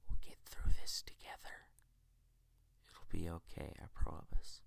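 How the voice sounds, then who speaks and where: A man whispering softly in a reassuring ASMR roleplay, close to the mic, with hesitant pauses. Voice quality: breathy whisper.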